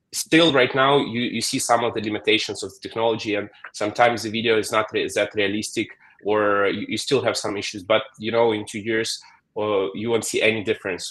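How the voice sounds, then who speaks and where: A man's voice: on the low side, fairly bright tone, poor audio. A man speaking as a guest on a video call, often repeating words as he starts a phrase. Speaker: guest expert. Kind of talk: casual interview talk.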